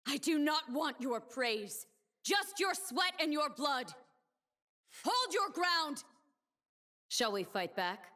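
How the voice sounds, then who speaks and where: Proud young warrior woman, game character, fairly high pitch, deliberate pace, solemn, defiant. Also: sharp tone, exaggerated voice. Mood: rallying, fierce. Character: proud, stern young woman warrior, a blade dancer defending her homeland. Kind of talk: video game character voice lines.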